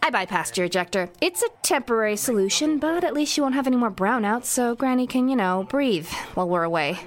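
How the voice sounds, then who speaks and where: An actress playing a determined young woman who talks in a brisk, practical and caring way. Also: a little high, animated, natural voice. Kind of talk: audio fiction acting.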